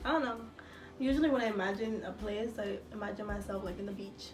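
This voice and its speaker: A woman's voice: medium pitch, quick pace. An eighteen-year-old woman from El Salvador co-hosting a casual Q&A vlog in a slangy, candid way. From El Salvador, moved to the United States as a child. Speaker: vlog co-host. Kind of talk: casual Q&A chat. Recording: roomy, distant mic, music behind. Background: music.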